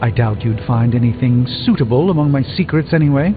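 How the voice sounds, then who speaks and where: Man as a haughty sorcerer in deep reverb. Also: fairly quick, over music, lightly acted. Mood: dry, unamused. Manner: formal, dry, disdainful. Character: proud, haughty male sorcerer scholar. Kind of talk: video game dialogue acting. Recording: muffled, thin audio in long reverb.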